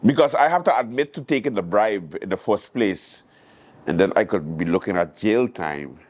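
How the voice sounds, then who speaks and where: A man's voice: lowish voice, expressive, normal speed, a little mellow. A man with a Caribbean accent hosting a late-night show, giving casual news commentary and acting out quotes in a storytelling way.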